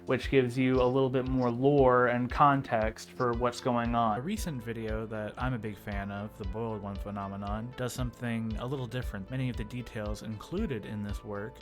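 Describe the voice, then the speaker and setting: Young guy doing video essay commentary, mid pitch, kinda snarky and informal, music playing behind him. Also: steady tone, moderate pace, few pauses. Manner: informal, sardonic, with joking asides.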